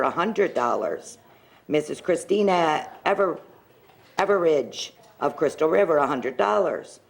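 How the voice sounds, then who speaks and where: A woman's voice: low voice, gravelly. A woman on a telethon, first chatting eagerly about her donation and then reading out donor names and amounts, tripping over hard names and apologizing. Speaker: local business owner donating to a telethon. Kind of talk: casual chat, reading donor names aloud. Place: television telethon studio. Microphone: handheld microphone.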